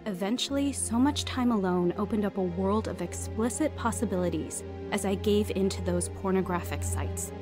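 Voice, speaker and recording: A woman's voice: mid-range voice, normal speed, few pauses, music in the background, natural voice. An actress playing a troubled young woman recalling her secretive teen years in a scripted audio drama. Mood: reflective. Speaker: narrator and lead actress.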